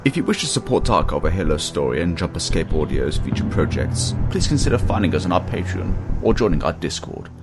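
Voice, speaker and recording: A man's voice: sits low, very animated, fairly quick, hardly any pauses, music in the background. A man reading a scripted announcement as an announcer.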